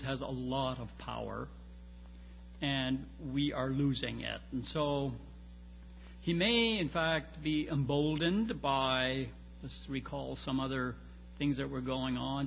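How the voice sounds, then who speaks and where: A man's voice: mid-range voice, gravelly. A man giving an informal academic lecture, speaking in searching phrases with repeated starts and emphatic stresses. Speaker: lecturer on church history and theology. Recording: muffled, reverberant hall with background noise.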